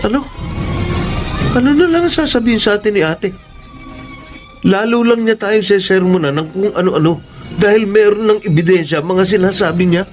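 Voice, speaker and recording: A man's voice: high voice, normal speed, long pauses, faint music behind, lightly acted. An actor playing a disheartened, anxious man in a Filipino radio drama, speaking in a downcast, plaintive way. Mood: worried. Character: disheartened, anxious man who lost his home.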